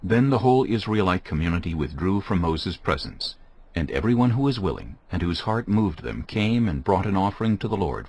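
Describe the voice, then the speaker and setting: Very deep, expressive male scripture narrator. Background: music bed.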